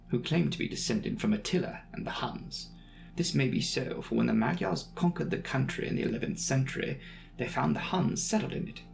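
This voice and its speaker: A man's voice: medium pitch, gravelly. A man voicing an earnest, inquisitive young traveller reading out his journal in a precise, matter-of-fact way for a dramatic audiobook. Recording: music behind the voice.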